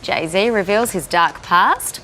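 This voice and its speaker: A woman's voice: mid-range voice, takes it slowly, a crowd in the background. An Australian woman co-hosting a morning TV show, reading scripted links and joining in light banter. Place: TV studio.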